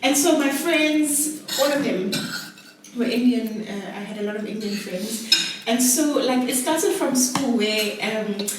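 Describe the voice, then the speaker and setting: A South African woman talks casually as a podcast guest, her voice on the high side and a little away from the mic. The room rings heavily around her, and she drops quick asides into her stories as cutlery clinks. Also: recorded from a distance, background noise. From KwaZulu-Natal, South Africa. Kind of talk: casual chat. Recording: echoey room, off mic, loud clatter of dishes. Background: clinking cutlery and dishes.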